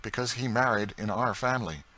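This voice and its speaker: A man voicing a good-hearted, impulsive young gentleman from Kentucky in a storybook, frank, open and assured in manner. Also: medium pitch, a little fast, voice pushed up, rough recording. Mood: sincere.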